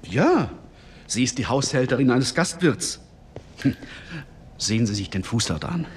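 Blunt constable with lively pitch. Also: male voice, a little slow, a little hiss or hum. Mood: blunt, self-satisfied. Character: working-class police constable, matter-of-fact, self-satisfied about grisly work. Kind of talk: German radio drama acting.

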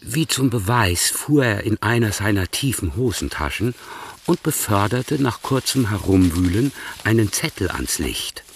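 A man narrating a radio play in a measured, storytelling manner. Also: lowish voice, animated, far from the mic, a little hiss or hum.

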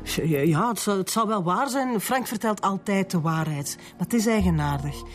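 A woman's voice: mid-range voice, expressive, moderate pace, faint music behind, natural voice. Actress as distressed Flemish woman suspect, pleading. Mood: disbelieving. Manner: pleading, protesting. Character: distressed, pleading female murder suspect defending her boyfriend. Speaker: actor. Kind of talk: radio police drama acting.

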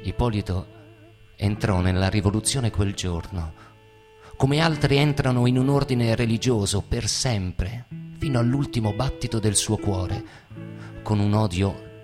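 A man narrating a dramatic literary stage piece with measured, emphatic phrasing. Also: fairly low pitch. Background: guitar music.

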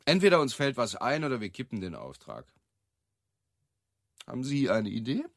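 A man's voice: medium pitch, huge pitch swings, normal speed, dry recording, studio quality. An actor playing an exacting, impatient male advertising boss with a curt, decisive way of talking in naturalistic radio drama. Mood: brisk.

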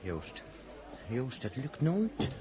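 An actor playing a cautious, anxious team member in a 1960s radio drama, speaking in a wary, hesitant way. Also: male voice, mid-range voice, huge pitch swings, drawn-out words, heavily acted. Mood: worried, cautious. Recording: noisy 1960s radio recording, crowd behind.

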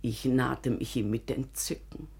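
Husky, low-voiced, emotional household companion. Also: female voice, steady tone, measured pace, a little mellow, full character voice. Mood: dramatic, troubled. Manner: declamatory, emphatic storytelling. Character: devoted, excitable female household companion, troubled by conscience. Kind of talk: classical German radio drama acting.

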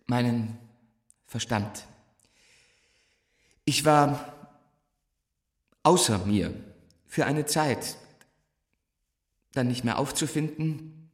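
An actor playing a gifted, fragile young teacher in a stage drama, declaiming with halting searches for words. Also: male voice, mid-range voice, echoey room.

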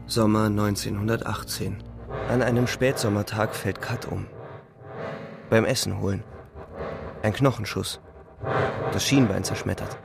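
A man's voice: fairly low pitch, fairly even intonation, unhurried, vocal fry, long pauses, loud music behind the voice. An actor playing a sober, war-weary soldier who narrates his memories in a radio drama. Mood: somber. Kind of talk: scripted first-person narration, radio drama.

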